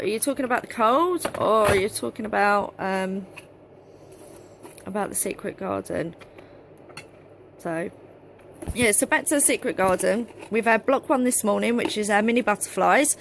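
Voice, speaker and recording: A slightly hoarse woman in her thirties chats on a phone held at arm's length in a cluttered craft studio. She rambles along with fillers and restarts, and there is faint background noise behind her. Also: medium pitch. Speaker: craft group host. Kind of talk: casual live-stream chat.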